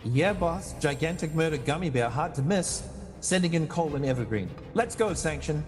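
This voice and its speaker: A man's voice: a little high, expressive, normal speed, darkish tone, big-room sound, faint music behind, close to an everyday voice. A man playing a burly, dry-witted elf mage and loyal sidekick in a fantasy audio drama. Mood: wry, reporting. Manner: wry, dry.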